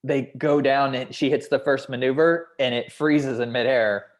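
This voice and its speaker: A man's voice: mid-range voice, fairly even intonation, normal speed. A man explaining his notes on a script in a hedging, conversational way, like a consultant at a feedback session. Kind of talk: feedback discussion at a table read.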